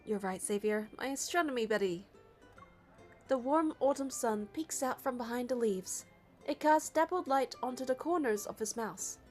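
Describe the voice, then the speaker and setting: Female narrator, high voice, scripted game story reading with music underneath. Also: lively intonation, normal speed. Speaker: narrator and reader of a game playthrough. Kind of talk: scripted story narration with dialogue read aloud. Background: background music.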